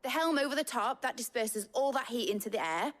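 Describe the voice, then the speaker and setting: A woman playing an animated young Northern English engineer. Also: on the high side, brisk, close to an everyday voice. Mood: proud, eager. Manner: animated, colloquial, boastful. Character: brash, enthusiastic young female engineer. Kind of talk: lively game cutscene acting. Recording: music behind the voice.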